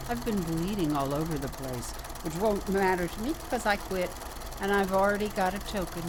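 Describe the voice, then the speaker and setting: A woman's voice: fairly low pitch, lightly acted. An actress playing a sardonic, fed-up New York woman in a comedic radio drama, wry and wisecracking. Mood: sarcastic. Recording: noisy rattling sewing-machine workshop ambience.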